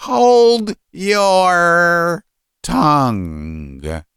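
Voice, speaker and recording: A man voicing a short, fat, miserly tyrant of a father, domineering and theatrical, with a shrill, unnatural shout, in a storybook reading. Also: wildly swooping pitch, slow, no room sound, studio quality, heavily acted. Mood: domineering rage.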